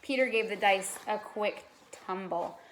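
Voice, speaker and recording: A woman with a mid-range voice reads a children's story aloud. Her delivery is expressive, with dramatic emphasis, like a teacher narrating to a class. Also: mid-range voice, a little slow, a little hiss or hum, low-quality recording.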